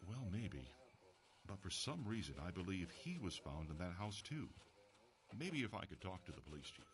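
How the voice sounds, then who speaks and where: A man playing an earnest, inquisitive investigator in an audio drama, telling a mystery in a measured storytelling way. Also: deep voice, big pitch swings. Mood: earnest, probing. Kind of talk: audio drama acting with first-person narration.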